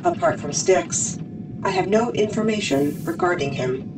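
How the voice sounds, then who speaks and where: A precise, efficient intelligence officer played by a woman reports formally with lilting pitch. She sounds slightly distant amid loud ship noise. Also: expressive, normal speed, close to an everyday voice. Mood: brisk, informative.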